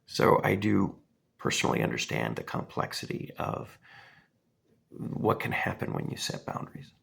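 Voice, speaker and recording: A man in his fifties, hoarse from a cold, giving a halting, earnest commentary with many pauses and fillers. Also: deep voice, big pitch swings, a bit bright. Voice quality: gravelly and husky. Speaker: response video maker. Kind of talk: casual spoken commentary.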